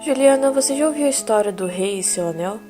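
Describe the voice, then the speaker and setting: A woman acting a calm therapist in an audio drama, asking gentle probing questions over music. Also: fairly high pitch, quick pace, natural voice.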